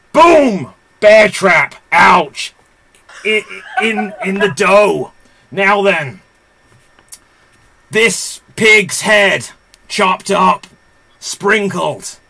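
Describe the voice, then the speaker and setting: A man's voice: a little high, creaky, bright, forceful tone, exaggerated voice. A man voicing a menacing male horror villain in an improv game, speaking in clipped, threatening phrases.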